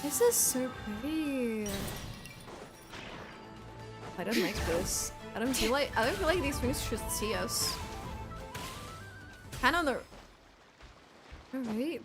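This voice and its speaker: A woman's voice: high voice, animated, a little slow, music in the background. A woman doing casual livestream game commentary, chatting to viewers and thinking aloud as she plays. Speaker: game streamer.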